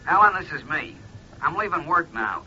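An actor playing a weary, grumbling American husband in an old crime radio drama, with a colloquial way of talking. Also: male voice, on the high side, expressive, normal speed, over loud music, close to an everyday voice. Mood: grudging, exasperated. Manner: colloquial, exasperated. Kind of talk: 1940s-50s crime radio drama acting. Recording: muffled old radio recording with noise.

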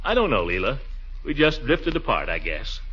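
An actor playing a sheepish, easily flustered middle-aged bachelor suitor in a 1940s radio comedy, with an American accent and a hesitant, blustery delivery. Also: male voice, mid-range voice, big pitch swings, moderate pace, bright, forceful tone, husky, exaggerated voice. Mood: earnest. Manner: hesitant, blustery, theatrical swoops. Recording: dull old radio recording, music behind.

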